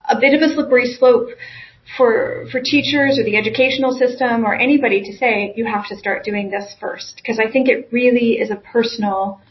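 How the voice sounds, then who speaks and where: A woman talking about language learning in a thoughtful, halting way, often repeating words. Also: a little high, steady tone. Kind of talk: casual conversation. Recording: dull lo-fi audio.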